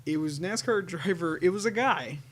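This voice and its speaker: A man's voice: sits high, moderate pace, a little hiss or hum. A man giving casual, rambling commentary, swearing and repeating himself. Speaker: host commenting on own show.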